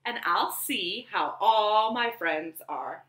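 A woman's voice: medium pitch, animated, slow, fairly bright tone. A woman voicing a happy, polite, curious lion from a children's storybook, with sing-song greetings. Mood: musing. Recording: roomy home webcam sound.

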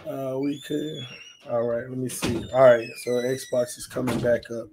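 A man hosting a casual livestream from his room at home while setting up a game, talking quietly because he is losing his voice. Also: medium pitch, fairly even intonation, unhurried, noisy background. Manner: talks quietly to spare his voice, reads chat aloud. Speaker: sports channel host. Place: small home room full of fan decor. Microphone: desk mic on stand.

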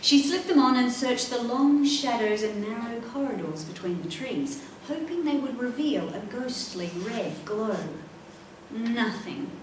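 A middle-aged woman reading a children's adventure novel aloud in an expressive, dramatic way. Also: a little high, measured pace. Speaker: author reading own book. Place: indoor library room, seated at a table. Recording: echoey room, distant mic, faint noise.

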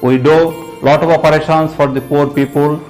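A man, a surgeon, describing his work slowly and deliberately in an interview. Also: a little high, little pitch movement, a little mellow, faint music behind, rough recording. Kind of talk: interview statement.

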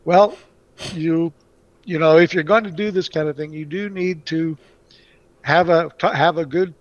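Guy from puerto rico chatting in an interview, lots of uhs, kinda high voice, dry close sound. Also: fairly even intonation, a little slow, dry recording, highs cut off. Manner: rambling, many restarts and fillers. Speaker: guest. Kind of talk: casual interview answers.

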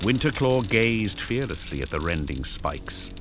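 A man giving scripted dramatic narration, grave and storytelling, with deliberate pauses. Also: fairly low pitch, very animated, over music.

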